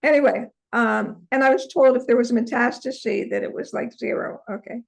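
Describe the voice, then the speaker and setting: An older woman with a hoarse voice, telling her personal story as an interview guest. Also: fairly high pitch, brisk. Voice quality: hoarse, from a vocal cord injury. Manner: earnest storytelling, many ums. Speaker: interview guest, holistic practitioner.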